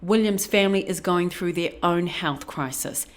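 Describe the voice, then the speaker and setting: A woman with a New Zealand accent reads a scripted news feature. Her voice sits a little low, and she delivers it as a reporter telling a story. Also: normal speed.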